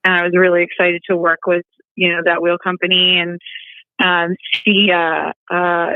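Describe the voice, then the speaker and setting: A woman, a model, who is a guest on a live interview and is upbeat and quick to laugh. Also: on the low side, level tone, no room sound. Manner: upbeat, giggly. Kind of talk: phone interview. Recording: tinny phone line.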